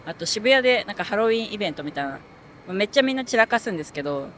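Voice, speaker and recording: A woman's voice: medium pitch, animated, very fast, a bit bright, a little hiss or hum. A young woman in her twenties answers casually and rambles with fillers into a handheld mic on a street. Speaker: interviewee. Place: city street outdoors. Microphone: handheld mic held by interviewer.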